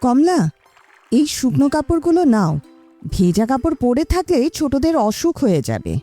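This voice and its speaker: A woman voicing a caring, motherly village neighbour in an animated moral story. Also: high-pitched, normal speed, faint music behind.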